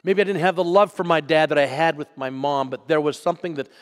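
A man preaching a sermon as pastor, telling a personal story and moving from loud to hushed. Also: a little high, steady tone, fast talker, long reverb tail. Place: church sanctuary.

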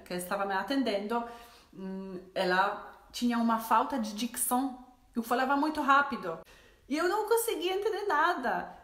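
A woman's voice: fairly high pitch, a bit bright, echoey room. A woman in her forties with a Polish accent, chatting to camera in her living room, often restarting phrases and laughing between them. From Poland. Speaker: vlogger. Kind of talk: casual chat to camera. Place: living room at home with fireplace.

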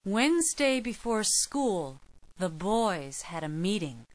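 Unhurried, expressive woman storyteller. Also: medium pitch, no echo. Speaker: storybook narrator. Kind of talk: scripted children's story narration.

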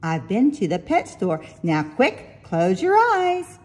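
A woman voicing an affectionate, excited parent greeting children in a storybook, with drawn-out, fond delivery. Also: medium pitch.

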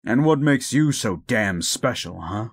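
A man playing a bitter, grieving, gruff werewolf in an audio drama, cynical and scolding. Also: mid-range voice, animated. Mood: angry. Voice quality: gruff, gravelly. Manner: cynical, scolding, deliberate storytelling. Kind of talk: audio roleplay drama acting.

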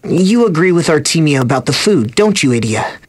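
A woman playing a calm, world-weary adventurer in a game, thoughtful and subdued. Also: sits low, no room sound. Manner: measured, subdued.

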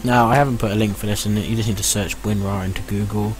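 A man's voice: fairly low pitch, fairly even intonation, fast talker. A man giving a casual, reassuring step-by-step tech tutorial in an explanatory way. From United Kingdom. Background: music.

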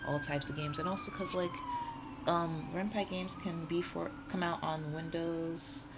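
A woman's voice: on the low side, wildly swooping pitch, measured pace, very noisy. A woman hosting a casual, off-the-cuff tutorial in a chatty, informal, rambling way. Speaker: tutorial host.

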